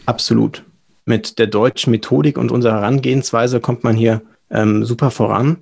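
A young German man speaks as an interview guest, explaining things in a casual way. His mid-pitched voice is distinctly husky, with plenty of vocal fry. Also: steady tone, moderate pace, darkish tone. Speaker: podcast guest, lawyer.

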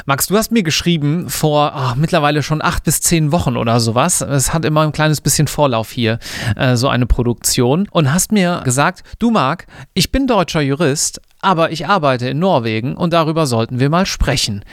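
Podcast host, close dry sound. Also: male voice, a little high, moderate pace, dry recording. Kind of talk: conversational podcast interview.

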